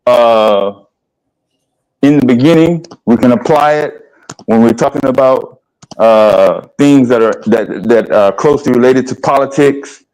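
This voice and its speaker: A man in his fifties from the American South, hosting a livestream discussion in a plainspoken, halting way. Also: medium pitch, darkish tone, low-quality recording. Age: 50s. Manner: plainspoken, halting, asks rhetorical questions. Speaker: livestream host. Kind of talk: casual discussion.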